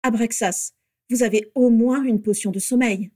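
A woman's voice: fairly high pitch, fairly even intonation, normal speed, a little mellow, no room sound, studio quality, close to an everyday voice. A composed young woman from a fantasy audiobook, voiced by a narrator. She is protective and sensible, with a practical, reproving way of speaking. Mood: calm, practical.

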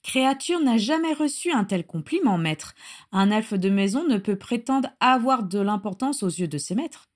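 A woman voicing a fawning, devoted male house-elf servant in a grating, creaky voice, for a fantasy story reading. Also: on the high side, expressive, normal speed. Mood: flattered, devoted. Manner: obsequious, speaks of himself in third person.

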